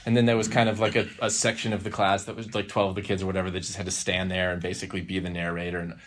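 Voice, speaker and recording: Low-voiced man telling a halting casual story. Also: flat delivery, a little hiss or hum. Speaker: podcast host.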